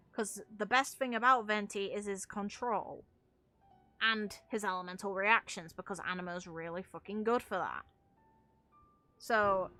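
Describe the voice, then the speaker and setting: A woman's voice: medium pitch, fairly bright tone. A woman giving casual, opinionated video game commentary as a streamer, with some casual swearing.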